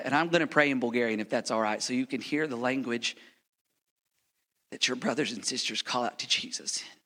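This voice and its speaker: A bright, forceful male preacher from the United States delivers a heartfelt missionary sermon in a church sanctuary. His voice echoes with a long reverb and swings from ringing appeals down to near-whispered lines. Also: medium pitch. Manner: passionate, preacherly, swings from loud to hushed. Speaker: missionary pastor. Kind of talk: sermon with a prayer. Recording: reverberant hall.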